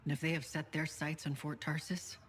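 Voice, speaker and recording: An actress playing a guarded spymaster in a sci-fi video game, speaking in a measured, controlled, formal way. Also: female voice, deep voice, level tone, slight background noise, close to an everyday voice. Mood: guarded, grave. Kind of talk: conversational video game voice acting.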